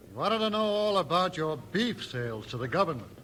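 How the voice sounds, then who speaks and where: A crooked American frontier official, with a bright, slightly high voice that swings up and down a lot. He is brisk and edgy, in old Western radio acting style. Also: big pitch swings. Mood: agitated, warning. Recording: faintly noisy vintage radio recording.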